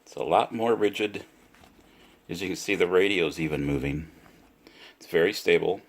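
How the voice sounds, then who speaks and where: A man giving a casual, unscripted product demonstration, speaking deliberately and repeating himself for emphasis. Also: low voice. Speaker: product reviewer.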